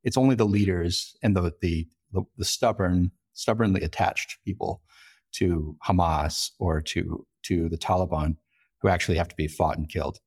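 A man's voice: low-pitched, steady tone, moderate pace, a little mellow, breathy edge. A man giving a conversational expert explanation as an interview guest, articulate but often repeating words as he goes. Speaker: interview guest, foreign correspondent and lecturer.

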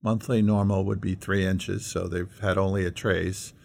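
A middle-aged American man with a fairly deep voice and plenty of fry. He co-hosts a discussion in an earnest, conversational way, often posing questions as he reasons aloud. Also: flat delivery, normal speed, a little mellow. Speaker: podcast co-host.

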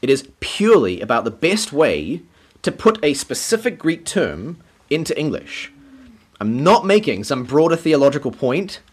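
Husky man giving a teaching sermon. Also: a little high, big pitch swings. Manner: explanatory, measured, occasional light laugh. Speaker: preacher.

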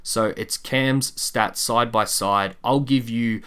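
A man hosting a sports podcast, chatting and reading out prepared stats in a measured, deliberate quizmaster way. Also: mid-range voice, steady tone, fairly bright tone, smooth.

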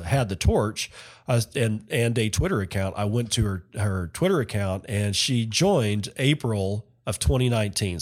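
A man hosting a solo podcast, talking casually and rambling, often correcting himself mid-sentence. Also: on the low side. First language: not Finnish. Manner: rambling, self-correcting, chatty. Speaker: podcast host.

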